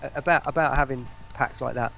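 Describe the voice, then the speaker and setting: A man's voice: medium pitch, fairly even intonation, brisk, gravelly, bright, forceful tone. A man speaking as a guest in a live stream interview, explaining things in a careful, hedging way. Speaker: guest, voice pack maker.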